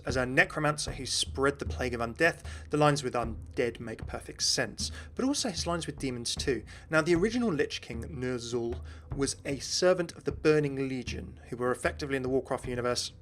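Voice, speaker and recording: A man's voice: medium pitch, a bit bright, a little hiss or hum. A man hosting a gaming video, explaining lore and references in an informative, enthusiastic commentary.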